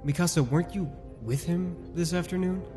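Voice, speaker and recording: Someone doing a pushy cadet, talks fast, mid pitch, music in back. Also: close to an everyday voice.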